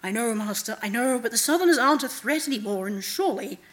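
A woman's voice: on the high side, animated, quick pace, natural voice. A woman voicing a troubled undead male king, a bitter and defiant servant who speaks tersely and intensely, in a fantasy audiobook. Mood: fearful, pleading.

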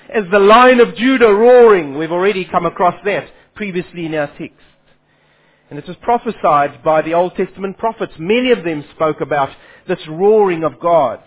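A man preaching a Bible sermon, his Afrikaans, who poses questions to his listeners and then answers them. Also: sits high. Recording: phone-like lo-fi sound.

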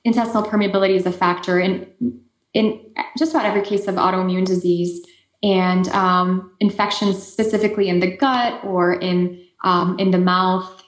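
A woman's voice: lowish voice, fairly even intonation, moderate pace, roomy sound. A woman with an Eastern European accent, a native Polish speaker, answering interview questions in an explanatory way with frequent fillers and restarts. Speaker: guest expert.

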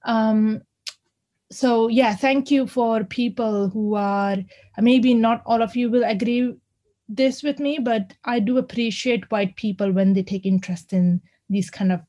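A woman's voice: on the high side, a little slow, dark tone. A woman from India with an Indian accent, a university lecturer speaking hesitantly with many fillers and restarts at a community discussion. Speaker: university lecturer, audience member. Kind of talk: unscripted remarks at a community discussion.

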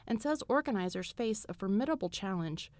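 A woman reading a scripted radio news report in a crisp, measured way. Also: mid-range voice, expressive, a little mellow, no echo.

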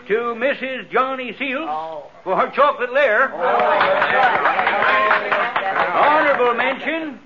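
Flustered, folksy male fair announcer in a vintage radio western. He drawls slowly with wide swings of pitch, dithering and repeating himself. The old recording is muffled and noisy. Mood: flustered, official. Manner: halting, dithering, repeats himself, chuckles nervously. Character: flustered, folksy small-town fair announcer addressing a crowd. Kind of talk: 1950s radio western acting.

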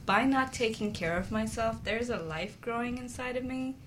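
A woman's voice: on the high side, normal speed, a bit bright, a little hiss or hum. A woman co-hosting a talk show, sharing personal experience in an earnest, confiding, conversational way.

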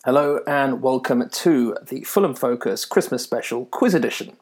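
A man's voice: mid-range voice, darkish tone. Joking man hosting a quiz. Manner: joking, reads introductions with fillers. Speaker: podcast quiz host.

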